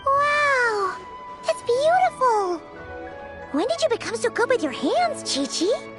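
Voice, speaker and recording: A woman voicing a tiny, excitable fairy girl in an anime-style video game, squeaky, childlike and bubbly. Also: slow, heavily acted. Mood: excited, admiring. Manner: exclamatory, bubbly. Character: tiny excitable floating fairy companion, girl, cheerful and chatty. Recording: loud music behind the voice. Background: loud music.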